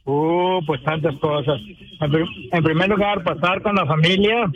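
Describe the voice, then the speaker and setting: Halting northern Mexican man calling in. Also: fairly high pitch, fairly even intonation, lo-fi sound. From Durango, northern Mexico. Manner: halting, folksy, checks for understanding. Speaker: radio caller. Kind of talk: casual call-in chat. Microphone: phone call to a radio show. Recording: radio playing back behind the call.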